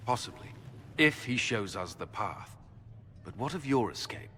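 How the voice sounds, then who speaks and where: A resolute military commander, grim and clipped, with a middle-pitched voice that moves widely. His tone is forceful and grave, as in a game cutscene. It rings in a long hall reverb. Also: expressive, measured pace. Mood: grave, doubtful. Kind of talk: dramatic video game acting. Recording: long reverberant hall, faint background noise.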